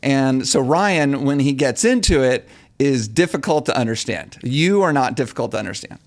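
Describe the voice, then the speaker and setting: A man's voice: mid-range voice, animated, normal speed. A man from Texas hosting a podcast, thoughtful and folksy, often repeating words as he starts a sentence. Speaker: podcast host. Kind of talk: casual interview chat.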